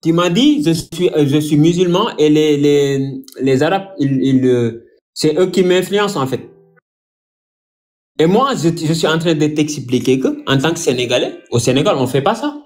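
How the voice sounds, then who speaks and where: A man from Senegal calling into a live debate, arguing haltingly with repeated words and asking to be heard. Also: on the high side, expressive, darkish tone. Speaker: debate caller.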